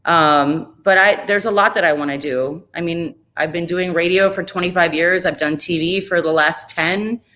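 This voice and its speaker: Woman radio host in her forties, candid guest chat, slightly low pitch, bright forceful tone. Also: steady tone, normal speed, hardly any pauses. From Chicago area, US. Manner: candid, rambling, self-deprecating. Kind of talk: casual interview chat.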